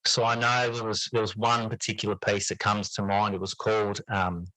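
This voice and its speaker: A man's voice: on the low side, little pitch movement, normal speed, pushed and bright, dry recording, poor audio. A man answering as an interview guest in a reflective, conversational way, thoughtfully searching for his words and often repeating them. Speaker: interview guest, teacher and writer.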